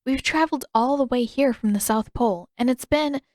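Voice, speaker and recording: A woman's voice: high voice, a little fast, darkish tone, no room sound, clean studio sound, pitched above the performer's own voice, close to an everyday voice. A woman voicing an earnest, determined teenage girl in a story, speaking politely and carefully, with a pleading manner.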